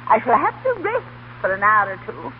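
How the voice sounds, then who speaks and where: An actress playing a meddlesome, effusive older aunt who treats life as a stage, in 1930s radio drama style. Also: female voice, a little high, wildly swooping pitch, brisk. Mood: grand, bossy. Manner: grand, effusive, theatrical. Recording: tinny, noisy 1930s radio broadcast.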